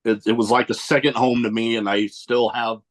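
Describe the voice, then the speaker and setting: A man's voice: medium pitch, little pitch movement, a little fast. A man hosting a podcast, an easygoing Navy veteran who chats about his travels and agrees readily with his guest. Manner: easygoing, agreeable, brief acknowledgements. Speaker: podcast host. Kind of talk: casual podcast chat.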